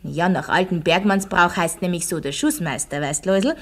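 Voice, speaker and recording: A woman's voice: lowish voice, brisk. A woman playing an Austrian village schoolteacher in a radio play, quizzing her pupils and telling them a heroic tale with didactic emphasis. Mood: dramatic, suspenseful. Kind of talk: radio drama, classroom storytelling.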